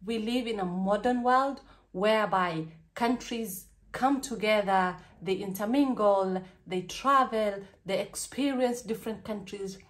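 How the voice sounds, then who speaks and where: Young Kenyan woman, candid storytime vlogger, animated and chatty, in her bedroom. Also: medium pitch, measured pace. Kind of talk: casual storytime vlog. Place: small bedroom, indoors.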